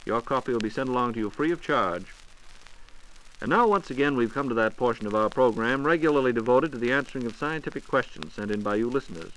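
A man's voice: medium pitch, expressive, quick pace, a bit bright. An American man with a polished announcer delivery, hosting a scripted 1940s radio programme. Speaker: radio host and interviewer. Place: radio studio. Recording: noisy vintage 1940s radio transcription disc.

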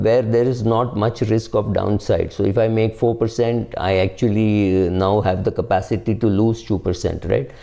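An older man with an Indian English accent, explaining investing to camera at home in a measured, unscripted way with many fillers. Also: fairly low pitch, vocal fry, mellow. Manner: measured, explanatory, frequent "uh" fillers and "right?" tags. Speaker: personal finance video host. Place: home study with bookshelves, indoors.

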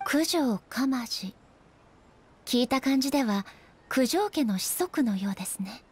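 High-voiced, solemn goddess ruler. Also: female voice, expressive, darkish tone, breathy edge, heavily acted. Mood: composed. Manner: formal, measured, polite speech. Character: dignified, introspective female deity ruler, calm and solemn. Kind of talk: Japanese game dub acting.

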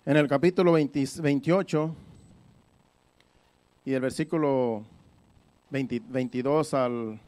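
A man's voice: mid-range voice, slow, pauses often, a little mellow. A man preaching in a conversational Bible-teaching style, explaining things by repeating and rephrasing his points.

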